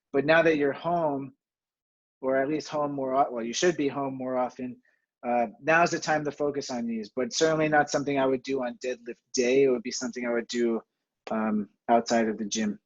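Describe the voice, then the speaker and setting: A man from New Jersey teaching a home exercise webinar from his home, chatty and self-deprecating, with a steady, fairly monotone delivery and filler words. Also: fairly high pitch, muffled recording. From New Jersey, USA. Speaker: physical therapy webinar presenter.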